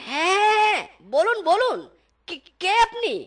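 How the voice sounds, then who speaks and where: A woman's voice: very high-pitched, animated, takes it slowly, exaggerated voice. A woman voicing a bumbling, boastful blind young man in a cartoon, bragging and swearing. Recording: cartoon music behind.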